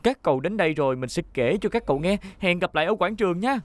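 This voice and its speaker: A woman voicing a calm, assured police car who leads a rescue team in a children's cartoon. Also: lowish voice, fast, natural voice. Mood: determined. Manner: assured, helpful. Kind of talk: dubbed children's cartoon acting.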